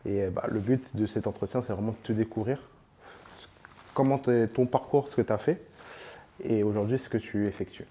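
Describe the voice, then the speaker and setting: Man, interviewer, mellow voice, informal, slangy, lots of fillers. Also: lowish voice, fairly even intonation, normal speed, a little hiss or hum. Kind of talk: casual interview chat. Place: on location in a sports venue.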